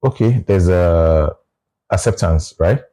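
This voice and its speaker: A Nigerian man talking through his views in a relaxed conversation, often restarting his phrases. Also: low-pitched, slow. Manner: reflective, restarts phrases, explains with examples. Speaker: guest. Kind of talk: casual conversation.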